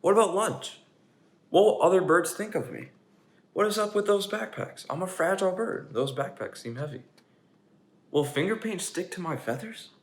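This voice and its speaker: A man's voice: sits high, lively intonation, normal speed, voice pushed up. A man voicing an anxious, dramatic, know-it-all pigeon from a children's storybook, fretful and full of questions. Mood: worried, fretful. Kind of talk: children's storybook character reading. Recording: echoey room, faint background noise.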